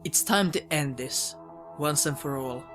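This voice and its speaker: Casting: woman as vengeful underground commander, cold, determined, deep for a female voice, faint music. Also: measured pace, fairly bright tone. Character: grim, vengeful commander of a secret organisation. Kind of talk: fan audio drama acting.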